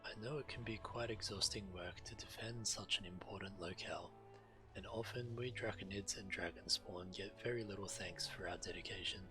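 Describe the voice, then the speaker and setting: A man with a low-pitched voice reads quest text aloud as he streams a video game. Clear game music plays behind him. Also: normal speed, rough recording.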